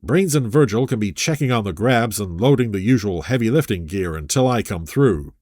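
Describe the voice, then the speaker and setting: A man voicing a calm, dutiful young male rescue pilot who reports in a businesslike way. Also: on the low side, normal speed, no room sound. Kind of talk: audio adventure story reading.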